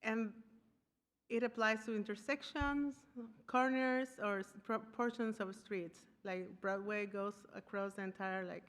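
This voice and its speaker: A woman's voice: mid-range voice, steady tone, a little slow. Woman presenting in a reverberant hall. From Costa Rica. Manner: searching for words, frequent restarts and fillers. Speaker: conference speaker. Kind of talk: conference presentation. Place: conference room. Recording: live talk with long hall reverb.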